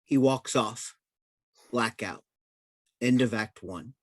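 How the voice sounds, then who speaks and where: A man reading a play's stage directions aloud in a plain, even manner. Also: mid-range voice, slow, no echo.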